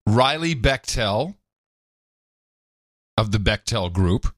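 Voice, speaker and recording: A man's voice: lowish voice, animated, slow, a bit bright, dry recording, studio quality. A man co-hosting a podcast in casual chat, wry and joking, often laughing. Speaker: podcast co-host.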